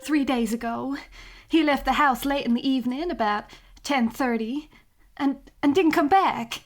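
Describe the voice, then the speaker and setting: Woman playing an anxious high-voiced 1940s widow. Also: moderate pace, soft background music, close to an everyday voice. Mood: reserved, worried. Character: anxious, genteel, doting 1940s widow client. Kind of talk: noir audio drama acting.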